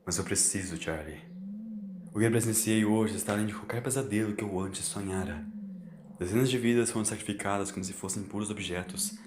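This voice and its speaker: A man voicing a weary ancient male time traveller, speaking quietly and heavily. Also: lowish voice, fairly quick, close to an everyday voice. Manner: quiet, subdued and heavy. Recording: roomy echo, faint hiss.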